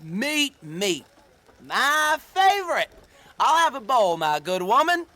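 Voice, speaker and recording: An actor playing a cocky, boastful and naive young drifter in an audio drama, theatrical and drawn-out in his delivery. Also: extremely high pitch, big pitch swings, bright, forceful tone, exaggerated voice. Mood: curious, jaunty. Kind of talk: dramatic audio fiction acting.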